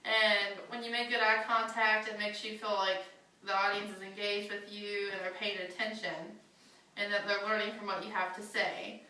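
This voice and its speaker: A woman's voice: mid-range voice, little pitch movement, moderate pace, pushed and bright. A young adult woman, a student giving a prepared class speech, earnest and a little nervous, with some fillers. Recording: echoey classroom from far off.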